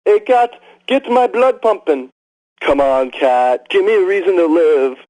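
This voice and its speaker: A desperate male fan caller begs the DJ with over-the-top pleading. His voice is pitched high. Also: lively intonation, measured pace, darkish tone, rough recording. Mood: begging. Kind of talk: scripted game voice lines.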